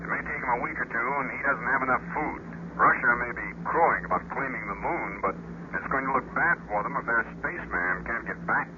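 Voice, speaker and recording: An actor playing a gruff, exasperated military colonel in a 1950s radio drama, brusque and authoritative. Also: male voice, medium pitch, fairly quick, close to an everyday voice. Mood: matter-of-fact. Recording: dull, hissy 1950s radio recording.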